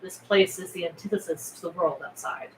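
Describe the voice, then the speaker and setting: A woman's voice: lowish voice, fairly even intonation, a little fast. A woman narrating the scene directions of a film script at a table read. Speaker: stage-direction reader. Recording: roomy echo with clear background noise.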